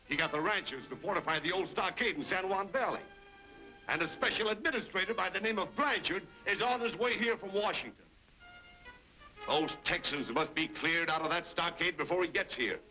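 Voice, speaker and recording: A scheming outlaw boss from a vintage western serial. He speaks in a fairly high, forceful voice with lively swings and a menacing, commanding manner. Music sits faintly behind the old radio recording. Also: moderate pace, poor audio. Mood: angry, urgent. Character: domineering, scheming male western villain boss. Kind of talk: 1930s-40s western serial acting.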